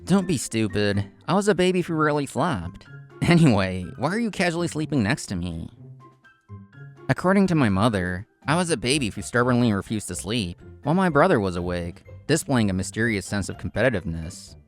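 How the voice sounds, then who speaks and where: A man's voice: medium pitch, very animated, a little fast, faint music behind, lightly acted. A man playing a proud, competitive young consultant in a manga drama, intense and curt, narrating and speaking his lines. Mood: flustered. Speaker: narrator and main character.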